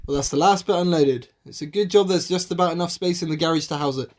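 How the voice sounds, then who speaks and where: An amateur actress playing a scatty, curious, excitable friend in a radio play, in a chirpy, playful manner. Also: female voice, on the low side, fast talker, background noise, natural voice.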